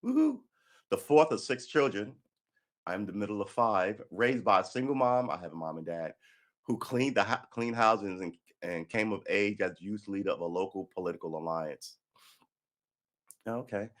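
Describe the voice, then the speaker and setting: Low-voiced New guy, live-stream host, reads an article aloud and cuts in with heated, cussing sarcasm. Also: expressive, moderate pace. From New York City. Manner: sarcastic, profane, heated asides. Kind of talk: reading an article aloud with running commentary. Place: indoor room by a window.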